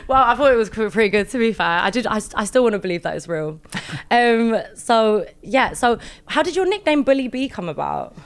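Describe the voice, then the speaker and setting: A young-sounding woman with a London accent runs a light, teasing interview. Her voice is smooth and clear, and she laughs easily between questions. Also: a little high, big pitch swings, a little fast, few pauses. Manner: giggly, teasing. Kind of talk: casual playful interview.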